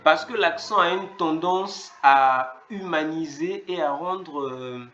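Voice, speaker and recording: A man's voice: on the high side, slow pace, bright, forceful tone, echoey room, lo-fi sound. A man coaching a public speaking class, giving directions and encouragement and repeating his words.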